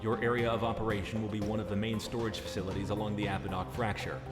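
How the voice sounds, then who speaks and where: A man playing a grave, steady military officer giving a scripted video game mission briefing. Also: on the low side, fast talker, lightly acted. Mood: businesslike. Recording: echoey hall reverb, loud music behind.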